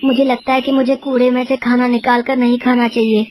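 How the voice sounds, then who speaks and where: A woman's voice: a little high, little pitch movement, a little fast, a little mellow, a little hiss or hum, lightly acted. A woman voicing an earnest, humble, poor orphan boy in a storybook tale. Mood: downcast.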